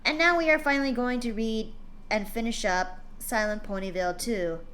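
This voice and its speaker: A woman hosts a live fan-fiction reading stream, talking casually and stumbling over her words with plenty of ums and restarts. Her voice sits a little high and sounds very bright. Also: moderate pace. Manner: chatty, many fillers and restarts.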